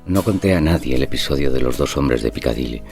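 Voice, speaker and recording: A man's voice: rumbling low, animated, quick pace, creaky, music in the background, full character voice. An actor playing an impressionable, nervous bank clerk who narrates his haunting in an audio drama. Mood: confiding. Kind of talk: dramatised first-person narration, audio fiction.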